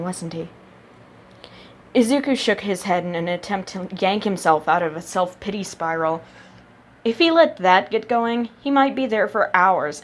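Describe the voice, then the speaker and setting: A woman narrates scripted fiction in a mid-pitched voice. She reads expressively, dramatizing what the character thinks and feels as an amateur audiobook reader would.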